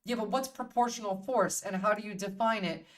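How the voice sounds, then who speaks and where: A woman's voice: mid-range voice, brisk, recorded from a distance. A woman hosting a livestream in casual, opinionated chat, swearing freely and bluntly as she thinks aloud. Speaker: livestream host.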